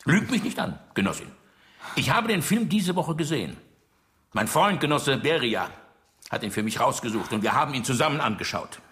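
A man's voice: mid-range voice, big pitch swings, normal speed, a bit bright. An actor playing an older, menacing dictator in a radio drama, mocking and commanding as he interrogates. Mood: needling, domineering.

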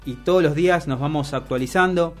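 A man with an Argentine accent co-hosting a radio show, giving news commentary in a halting way, often repeating and rephrasing. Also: a little high. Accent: Argentine Spanish. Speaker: radio co-host. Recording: music playing behind the voice.

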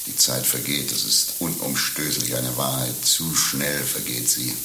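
A man playing a cynical, coarse pimp in a satirical radio play, bragging slyly with knowing pauses and mock tenderness. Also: extremely low pitch, vocal fry, exaggerated voice. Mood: wry, reflective. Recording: roomy, with faint hiss.

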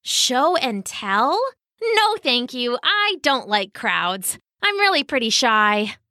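A woman voicing a shy, polite female tortoise for a children's story, gentle but firm. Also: on the high side, big pitch swings, slow pace, dry recording, clean studio sound, voice pushed up, exaggerated voice.

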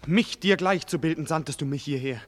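A man's voice: a little high, a little fast, close to an everyday voice. An actor playing a tender, impassioned young nobleman in despair, declaiming emotionally in a 1940s German radio play. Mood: anguished, defiant. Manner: emotional, declamatory, bursting from subdued grief into loud outcries. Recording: vintage 1940s radio studio recording.

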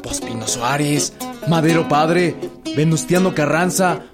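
An actor playing a blunt, scornful common revolutionary soldier, colloquial and disdainful, in a radio drama. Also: male voice, on the high side, a little slow, lightly acted. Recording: loud guitar music behind.

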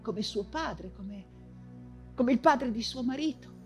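An actress puts on a German officer, a cold and courteous man. The voice drops lower and goes soft and measured, insinuating as it speaks, in theatrical style over faint music. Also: animated. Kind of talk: dramatic stage monologue.

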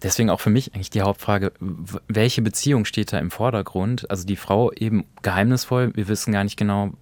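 Mann mit tieferer Stimme, locker besprechend. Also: male voice, fairly low pitch, fairly quick, darkish tone, no echo. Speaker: co-host discussing radio plays. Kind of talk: casual conversational review.

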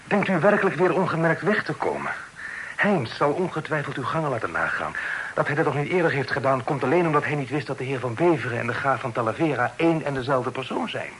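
An actor playing a courteous, forthright young gentleman in a Dutch radio play with formal old-fashioned delivery. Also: medium pitch, lively intonation, quick pace, lightly acted, poor audio. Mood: warning. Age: young adult. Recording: old radio play recording, background noise.

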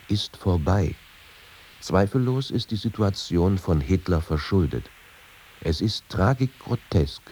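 Low, dark-voiced, wry émigré writer. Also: male voice, slight background noise. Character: sober male émigré writer, wry and candid. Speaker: actor reading quoted memoirs and letters. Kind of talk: radio feature literary reading.

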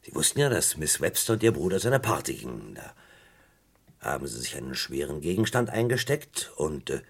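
An actor playing a stern, impatient British police interrogator in a German radio crime play, with a commanding, sarcastic way of questioning. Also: male voice, extremely low pitch, sing-song, fairly quick, breathy edge, exaggerated voice. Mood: sarcastic disbelief.

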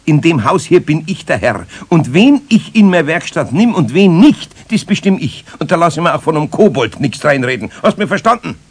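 An actor playing an older master carpenter in a children's radio play, a man with a Bavarian accent, grumbling and gruff, patient but firm. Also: a little high, very animated, full character voice. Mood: firm, scolding. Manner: gruff, unhurried, sceptical.

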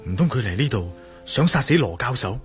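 An actor playing an inquisitive, bold male adventurer in a radio drama, with a Hong Kong Cantonese accent and a deliberate, pondering manner. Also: mid-range voice, sing-song, natural voice. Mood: hushed wondering. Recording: radio drama with clear music behind.

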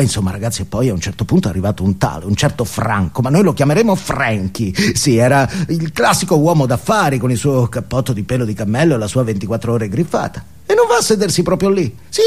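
An actor playing a deranged, filthy vagrant in a theatrical comic monologue, leering, rambling and taunting with gleeful menace. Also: male voice, mid-range voice, big pitch swings, quick pace, slightly rough. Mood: mocking storytelling. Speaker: stage actor.

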